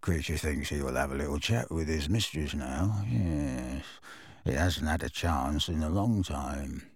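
A man's voice: rumbling low, unhurried, breathy edge, no echo, clean studio sound, voice pushed down, full character voice. A man voicing a gleeful, wheezy old male house elf who mutters slyly. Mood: sly gloating. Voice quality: wheezy, cackling. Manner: sly, muttering.

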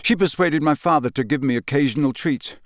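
A man's voice: on the high side, brisk, no room sound, clean studio sound, pitched above the performer's own voice, lightly acted. A man playing a dazed, coldly bitter young male prisoner who confesses in clipped, expressionless sentences. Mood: detached confession.

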